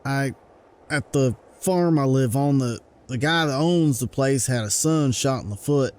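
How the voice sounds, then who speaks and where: A man's voice: medium pitch, a little mellow, lightly acted. A man playing a helpful, plain country farmhand with a Southern American accent in a motion comic. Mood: nervous, helpful. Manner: halting, folksy. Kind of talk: dramatized motion comic dialogue.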